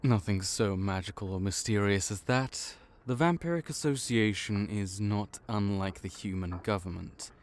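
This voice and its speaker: A man's voice: lowish voice, normal speed, hardly any pauses, lightly acted. A man voicing a male vampire intake officer in an audio roleplay, matter-of-fact and wry as he walks through the paperwork.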